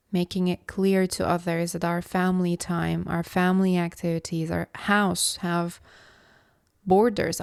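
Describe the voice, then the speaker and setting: A woman from Istanbul, hosting a reflective solo podcast as a philosophy coach, speaking in a slow, contemplative, measured way. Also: on the low side, darkish tone, clean tone, dry recording, clean studio sound. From Istanbul, Turkey.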